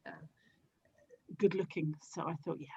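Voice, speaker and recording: A woman chatting casually as a podcast guest, with a gentle, giggly way of talking. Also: low-pitched, fairly even intonation, normal speed, slightly rough, no echo, dull audio. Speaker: podcast guest, audio drama producer. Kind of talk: casual chat.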